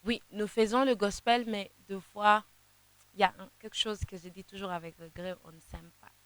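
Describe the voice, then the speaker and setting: Halting young woman gospel singer, smooth voice. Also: mid-range voice, no echo. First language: Kinande. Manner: halting, repeats words while searching; sings softly in places. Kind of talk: interview answers.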